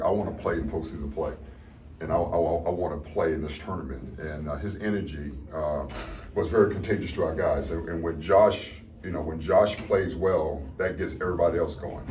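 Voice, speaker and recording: Middle-aged coach, really low voice, rambly postgame talk, mic a bit far, arena hum behind. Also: male voice. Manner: upbeat, rambling with repeated restarts. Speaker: head basketball coach. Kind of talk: postgame interview. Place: arena corridor by a painted wall, indoors. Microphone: handheld camera from a few feet off. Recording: distant mic with arena noise.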